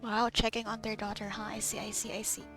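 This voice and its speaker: A woman's voice: medium pitch, level tone, quick pace. A woman giving casual, informal reaction commentary as she plays a video game, often in muttered asides. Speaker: gamer recording her playthrough. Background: game music.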